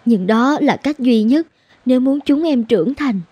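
A woman's voice: sits high, lively intonation, moderate pace, warm tone, slightly rough, no echo. A woman playing an earnest, emotive young nurse and mother, tender and sorrowful, in a cartoon drama. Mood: reflective, sad. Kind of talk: dramatic cartoon dubbing in Vietnamese.

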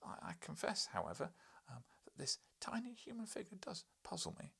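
A man's voice: high-pitched, wildly swooping pitch, normal speed, creaky, bright, forceful tone, slightly rough, whispered, pitched above the performer's own voice, heavily acted. A man voicing a timid young journalist in an audiobook, polite, baffled and stammering hesitantly. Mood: hesitant, puzzled.

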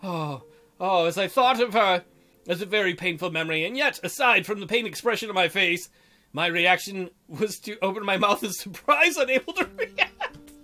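A man's voice: high-pitched, animated, normal speed, bright, forceful tone. A man hosting a game playthrough, reading the story aloud and adding goofy, crude joking asides. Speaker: gameplay host reading a visual novel aloud.

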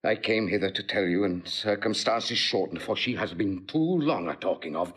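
Low, husky scheming noble villain. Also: male voice, animated, fairly bright tone, heavily acted. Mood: cold, scheming. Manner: measured, insinuating, formal. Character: cold scheming nobleman villain, slandering brother. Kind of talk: classical Shakespearean audio drama acting.

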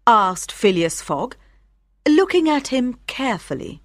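Woman narrator, scripted storytelling, unhurried, careful phrasing, animated pitch, dry close sound. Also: dry recording. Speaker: audiobook narrator.

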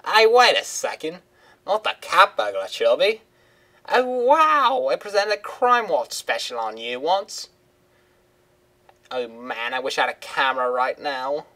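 A man putting on an eager high-voiced woman reporter. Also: big pitch swings, unhurried, bright, forceful tone, exaggerated voice. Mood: excited.

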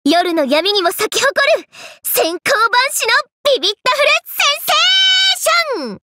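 Young gyaru game heroine, very high voice, wildly animated, playful, exuberant, slangy. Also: female voice, moderate pace, exaggerated voice. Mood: excited, playful. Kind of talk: anime mobile game battle voice lines.

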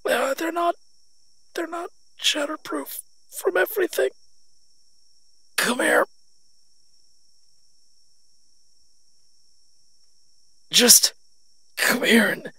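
A man playing an ancient, weakened vampire father in an audio roleplay, breathy and raspy, speaking in a halting, protective way. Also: very high-pitched, animated, sharp tone, no room sound, full character voice. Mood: choking, desperate.

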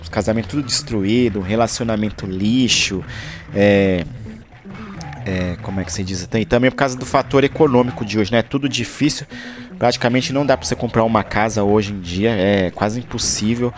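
A man's voice: fairly low pitch, normal speed, faint music behind. A man talking casually over his gameplay footage, rambling and searching for words, from Brazil. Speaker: gaming channel host.

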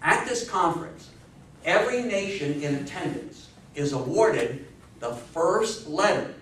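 A very reverberant recording from a distant microphone, with faint noise, of a man in his sixties lecturing. His voice sits a little high for a man. He speaks emphatically and in a folksy style, drawing his listeners in with questions. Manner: emphatic, folksy, prompting the audience. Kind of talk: animated public history lecture with audience questions. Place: small meeting room with seated audience. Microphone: distant camera microphone.

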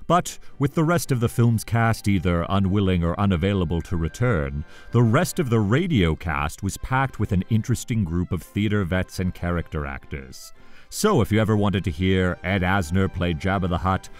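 A man presenting a scripted countdown video essay, with an animated, emphatic delivery that draws out key phrases. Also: deep voice, normal speed, soft background music.

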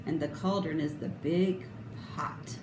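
A woman's voice: low voice, steady tone, a little slow. A middle-aged woman reading a children's picture book aloud with theatrical, emphatic, sing-song expression. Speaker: storybook reader. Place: indoor room. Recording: roomy echo, clear background noise.

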